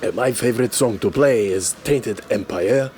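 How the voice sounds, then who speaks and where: Man putting on a low, pompous celebrity. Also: normal speed, a little mellow, a little hiss or hum, close to an everyday voice. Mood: clueless, pompous. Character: self-important, clueless male European electronic musician or radio man.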